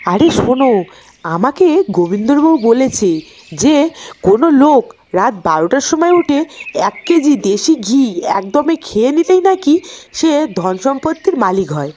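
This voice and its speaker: Woman acting excitable village wife, cartoon, swooping high voice, pushy, dramatic. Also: a little slow, full character voice. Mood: eager.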